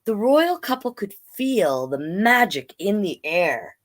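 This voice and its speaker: A woman hosting a storytime, reading a picture book aloud with chatty, gently encouraging asides to listeners. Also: mid-range voice, very animated, slow pace. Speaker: children's storytime reader and host.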